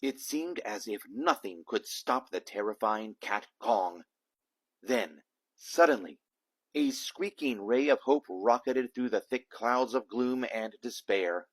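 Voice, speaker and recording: Male storybook reader, a dad reading aloud, mid-pitched voice, dramatic emphasis, animated picture-book delivery.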